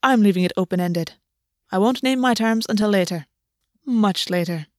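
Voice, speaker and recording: A woman's voice: medium pitch, normal speed, no room sound, clean studio sound, natural voice. A woman voicing an amused, sardonic male underworld god who teases offhandedly. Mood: teasing.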